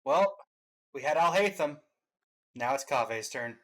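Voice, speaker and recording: Male co-host, casual game reaction commentary, medium pitch, wry, opinionated. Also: lively intonation, takes it slowly.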